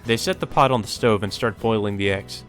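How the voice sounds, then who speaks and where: A man's voice: lowish voice, brisk, soft background music. A man hosting a let's play, reading a visual novel aloud and adding casual asides.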